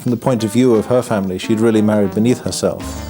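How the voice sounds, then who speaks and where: Reflective man, low voice. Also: fairly even intonation. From north of England. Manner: reflective, halting, with frequent restarts and wry humor. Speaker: Buddhist monk. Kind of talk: documentary interview. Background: background music.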